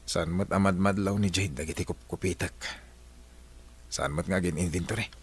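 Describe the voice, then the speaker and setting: A man's voice: low-pitched, fairly even intonation, moderate pace, slightly rough. A man acting as a flirtatious, scheming married man in a comedy-drama radio play.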